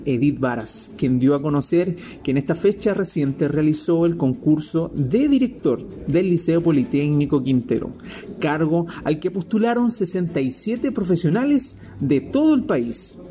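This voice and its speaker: Lively high-pitched Chilean male news reporter. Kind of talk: scripted radio news narration. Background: music bed.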